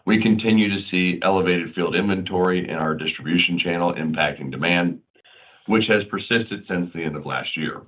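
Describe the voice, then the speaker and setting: A man speaking as a chief executive, reading prepared corporate remarks in a formal, measured way. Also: sits low. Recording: muffled conference phone line.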